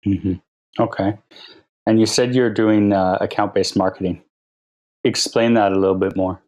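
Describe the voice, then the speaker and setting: A man's voice: lowish voice, fairly even intonation, normal speed, darkish tone. A man hosting a podcast, asking an interviewee short, plain questions about his sales work. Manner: brief prompts and short acknowledgements. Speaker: podcast host.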